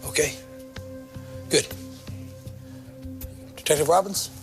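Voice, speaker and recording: An actor playing a terse, quietly compassionate police lieutenant in a crime drama, clipped and plain-spoken. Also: male voice, fairly high pitch, slow pace, music in the background. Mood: calm, gentle.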